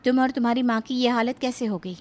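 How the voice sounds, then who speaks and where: A woman's voice: on the high side, fairly even intonation, quick pace, a little mellow, lightly acted. A woman playing a wronged, earnest young daughter-in-law in a dramatised moral story, speaking in a polite, pleading manner. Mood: composed.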